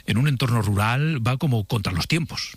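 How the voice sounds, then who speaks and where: A man hosting a radio interview, asking questions briskly and filling in with short replies. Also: medium pitch, animated, slightly rough, dry recording. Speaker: radio host.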